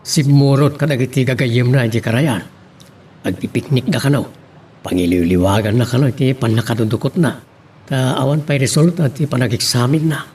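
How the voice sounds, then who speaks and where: A man playing a steady, caring middle-aged village father in a radio drama, with a Filipino accent. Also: medium pitch, normal speed, lightly acted. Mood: reflective. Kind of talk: Ilocano radio drama acting. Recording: faint background noise.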